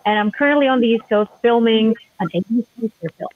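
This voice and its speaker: A woman's voice: fairly high pitch, fast, dry recording. Cheerful young woman from Bulgaria. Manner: cheerful, laughing. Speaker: actress, guest. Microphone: video call. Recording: dull video call audio with noise.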